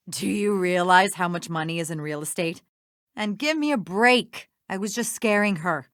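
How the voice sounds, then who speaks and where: A woman's voice: medium pitch, sing-song, slow pace, long pauses, fairly bright tone, dry recording, clean studio sound. An actress playing a smug, defiant woman schemer in a mystery video game, haughty and self-righteous. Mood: smug, dismissive.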